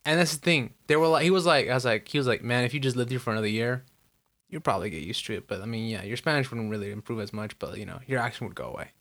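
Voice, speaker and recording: A man's voice: medium pitch, very fast, fairly bright tone. A young man from the United States co-hosting a casual podcast, telling stories animatedly and acting out quotes with frequent swearing. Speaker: podcast co-host. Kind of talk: casual chat.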